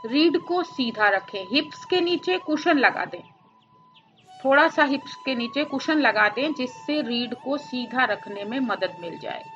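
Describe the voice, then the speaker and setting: Slow, high-voiced woman guiding meditation. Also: expressive, fairly bright tone, highs cut off, soft background music. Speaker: meditation teacher. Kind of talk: guided meditation instruction.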